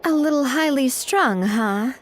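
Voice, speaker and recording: A high, lively young investigator. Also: female voice, a little slow. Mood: wry, musing. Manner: conversational, reassuring. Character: easygoing, earnest young female investigator, friendly and chatty. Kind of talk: video game voice acting.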